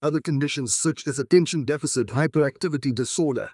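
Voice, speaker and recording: A man's voice: a little high, fast, a little mellow, no echo, clean studio sound. A man reading scripted academic passages aloud as exam practice.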